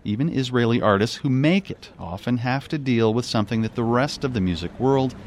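A man narrating a radio news report in a measured, scripted delivery. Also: medium pitch, a little hiss or hum.

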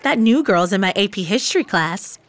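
A woman acting in a radio drama as an impatient, curious, catty high-school girl, sassy and expressive. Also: brisk, natural voice. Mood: gossipy, teasing.

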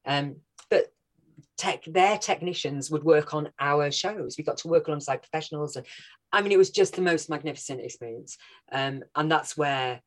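A woman's voice: sits low, moderate pace. A woman from North Wales with a Scouse-tinged accent, chatting as a guest actress, enthusiastic and laughing often. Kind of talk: casual interview chat.

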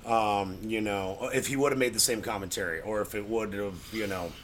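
A man's voice: lowish voice, steady tone, brisk, fairly bright tone, slight background noise. A man talking informally in a casual film discussion, opinionated and loose with his language. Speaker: podcast panelist.

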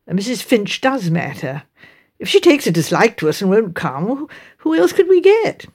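A woman's voice: medium pitch, big pitch swings, normal speed, a little mellow. A woman reader plays a middle-aged English village lady in an audiobook novel. She has a genteel upper-middle-class accent and prattles on, fussy and full of small opinions. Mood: reproachful, plaintive.

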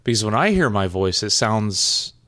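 A man with a Midwestern accent and a low, twangy voice, chatting casually as a podcast co-host, swearing, laughing and stumbling over words. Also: very animated, normal speed, fairly bright tone, dry recording. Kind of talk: casual chat.

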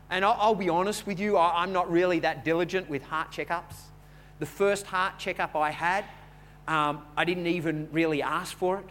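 A man preaching a sermon in a conversational, storytelling way, repeating words as he goes and building from quiet chat to louder preaching. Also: fairly high pitch, a little hiss or hum. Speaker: pastor.

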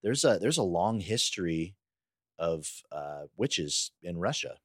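Deep-voiced man chatting as podcast co-host. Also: very animated, unhurried, no echo, clean studio sound. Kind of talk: casual conversational discussion.

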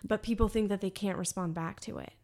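A woman hosting a podcast from the United States, chatting casually, animated and emphatic, laughing often. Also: medium pitch, fast. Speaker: podcast host. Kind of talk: casual conversation.

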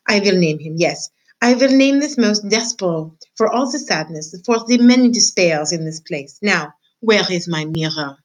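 A woman's voice: mid-range voice, animated, moderate pace, close to an everyday voice. A woman voicing a vain, dramatic mother mouse with a put-on French accent, sighing and theatrical. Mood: melodramatic.

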